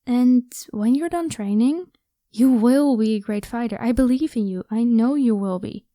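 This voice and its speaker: Casting: actress as protective, playful vampire woman, soft-toned and higher pitched, reassuring everyday delivery, for ASMR audio drama. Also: normal speed, no room sound, studio quality, lightly acted. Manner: casual, encouraging, reassuring.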